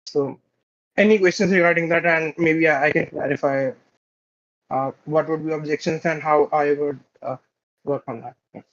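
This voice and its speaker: A man's voice: on the high side, fairly even intonation, normal speed. A man calling in to a debate show, talking hesitantly with many fillers and restarts. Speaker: caller. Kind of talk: casual debate call. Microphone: video call. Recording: quiet, dull lo-fi call mic.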